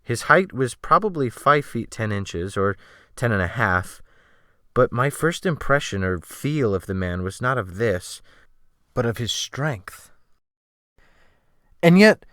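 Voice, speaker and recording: A man narrating an audiobook as a dramatic reading, with measured, formal and emphatic phrasing. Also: medium pitch, fairly bright tone, no room sound, studio quality.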